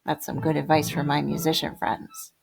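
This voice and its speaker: A woman reading rhyming picture book poems aloud to children in a theatrical way, moving between hushed whispers and loud exclamations. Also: sits low, completely flat delivery, normal speed, creaky, over music, rough recording. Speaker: children's storybook reader.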